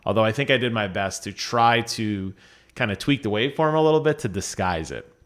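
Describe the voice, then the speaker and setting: A man's voice: lowish voice, expressive, brisk. A man presenting audio gear in a casual explanatory vlog, talking conversationally with emphatic repetition of key phrases.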